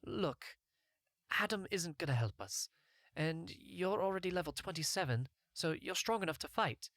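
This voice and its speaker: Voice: mid-range voice, animated, moderate pace, a bit bright, no room sound, clean studio sound, pitched above the performer's own voice. A narrator voicing an earnest, impulsive young male novice healer in a fantasy game story. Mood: urgent, pleading.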